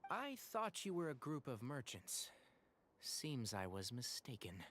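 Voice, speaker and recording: Lively, courteous young traveler. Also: male voice, mid-range voice, measured pace, a little hiss or hum. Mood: wary. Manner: courteous, earnest. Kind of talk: video game voice acting.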